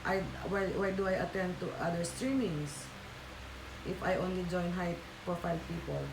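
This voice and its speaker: Filipina woman, low voice, echoey room. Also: moderate pace, background noise. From Philippines. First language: Tagalog. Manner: chatty, reads out viewer comments, many fillers and restarts. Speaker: livestream host. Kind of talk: casual livestream chat with viewers.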